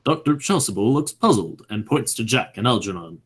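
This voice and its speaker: A narrator reading stage directions for an amateur radio play in a scripted style, with a husky voice. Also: mid-range voice, lively intonation, normal speed.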